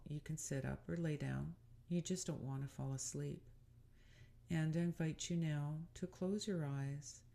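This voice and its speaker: Gravelly, mellow woman guiding meditation. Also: sits low. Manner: calm, soothing, unhurried, inviting. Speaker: meditation guide. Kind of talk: gentle guided meditation narration.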